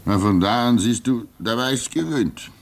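A man in his sixties playing a weary, pious old keeper at a polar station in a radio play, speaking wistfully with soft laughs. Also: mid-range voice, animated, moderate pace, gravelly. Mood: reflective. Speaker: actor.

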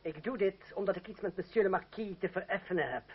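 An actor playing a proud young fencing master, formal and ironic, in a Dutch radio play. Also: male voice, high voice, quick pace, slightly rough. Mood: resolute. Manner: formal, ironic, self-assured. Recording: dull, noisy old radio recording.

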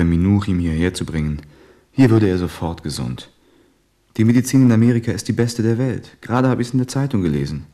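Deep-voiced, boastful businessman son. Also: dark tone. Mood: persuasive. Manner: brisk, self-assured, persuasive. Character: confident, boastful businessman son, practical and eager. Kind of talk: literary radio play acting.

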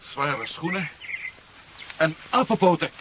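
A man's voice: on the high side, expressive, takes it slowly. A man playing a hard-nosed, commanding adventurer in a 1970s radio drama, urgent in manner. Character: decisive, hard-nosed male adventurer hero. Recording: dull, noisy 1970s radio recording.

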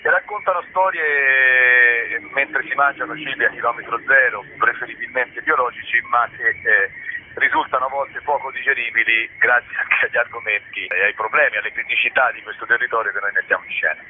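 A man speaking as an interview guest, explaining at length in a discursive way and pausing to search for words. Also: mid-range voice. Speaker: theatre director, interview guest. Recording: narrow tinny phone line, clear background noise.

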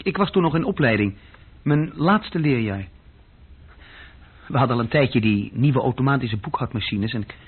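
An actor playing a bored, self-deprecating young male bookkeeping clerk in a radio drama, speaking haltingly. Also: medium pitch, breathy edge. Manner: halting, searching for words. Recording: muffled old radio recording.

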